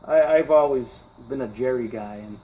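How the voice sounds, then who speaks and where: A man in his fifties chatting casually in a radio interview, reflective and halting, often restarting his phrases as he thinks aloud. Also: mid-range voice, dark tone, slight background noise, low-quality recording. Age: 50s. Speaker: musician, interview guest. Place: radio broadcast session.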